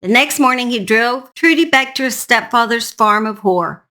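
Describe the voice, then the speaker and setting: A woman hosting a true crime show, telling a story in a measured narration with informal personal asides. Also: fairly high pitch, pushed and bright. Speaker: true crime channel host.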